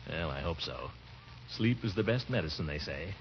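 Blustery pompous uncle, swooping old-radio delivery. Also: male voice, deep voice, lively intonation, normal speed. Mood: gentle, fussing. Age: middle-aged. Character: blustering, easily exasperated, pompous but doting bachelor uncle. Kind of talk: 1940s radio comedy acting. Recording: muffled vintage radio recording with hiss.

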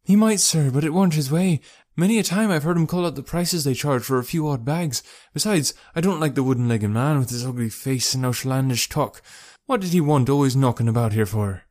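A man's voice: mid-range voice, a little fast, a little mellow, voice pushed up, natural voice. A man voicing an anxious, talkative working-class English wife in an audiobook, folksy and deferential. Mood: chatty, worried. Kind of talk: audiobook character voice.

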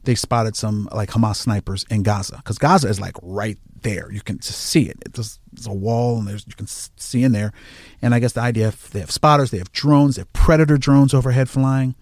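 Animated man telling a story, dry sound. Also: mid-range voice, brisk, no echo. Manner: animated, acts out quoted lines. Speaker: firefighter guest. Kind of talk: casual storytelling in conversation.

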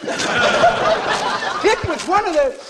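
Cheeky old-timer, extremely high voice, folksy vintage radio comedy, noisy old recording. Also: male voice, huge pitch swings, drawn-out words, heavily acted. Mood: boastful. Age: older. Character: cheeky, boastful old-timer man, about to marry. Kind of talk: 1940s radio comedy acting.

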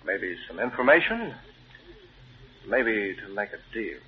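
An actor playing a curt, commanding newspaper chief turned menacing vigilante in an old-time radio drama. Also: male voice, fairly low pitch, big pitch swings, slow pace. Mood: cool menace. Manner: curt, commanding, coolly threatening. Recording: tinny, noisy old radio recording.

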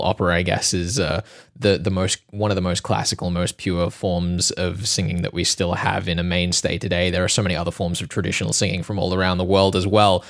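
A man's voice: low-pitched, creaky. A man hosting an interview, asking long questions in a fluent, conversational way with many casual fillers. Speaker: radio host and interviewer.

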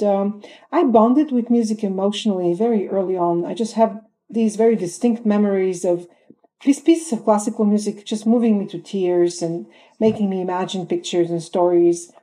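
A woman from Bulgaria in her fifties talks through her career in an interview. Her voice is very dark and mellow, and she pauses often with ums and uhs as she gathers her thoughts. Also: mid-range voice, low-quality recording. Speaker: film composer guest. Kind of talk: podcast interview answers.

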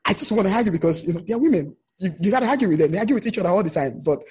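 A man with a raspy edge to his voice and a somewhat raised pitch speaks as an interview guest. He tells personal stories in a conversational way, slipping into quoted remarks to show what people said. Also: rapid-fire. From outside the United States. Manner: animated retelling with quoted remarks.

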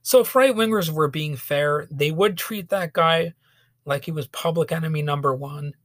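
A man hosting a solo podcast, ranting sarcastically with lots of fillers and restarts, his voice a little hoarse from a cold. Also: on the high side, moderate pace, fairly bright tone, no room sound, studio quality. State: slightly hoarse, getting over a cold. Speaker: podcast host. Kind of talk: solo opinion podcast monologue.

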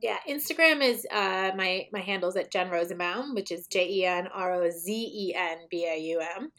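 A woman guest in a casual interview, her voice slightly raspy today, talking in a rambling way with many fillers and restarts. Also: medium pitch, moderate pace, a bit bright. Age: adult, mother of children.

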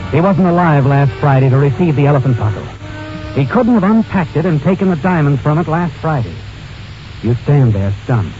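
A man's voice: fairly high pitch, mellow. A man narrating a suspense story in dramatic, ominous 1940s radio style. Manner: ominous, addresses the character as "you". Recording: muffled old radio recording. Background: dramatic music.

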